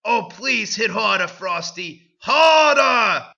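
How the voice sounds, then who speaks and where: A man putting on a frantic young female elf, pleading and shouting in a shrill, melodramatic falsetto-like voice. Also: lively intonation, slow, vocal fry, heavily acted. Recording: dull lo-fi recording.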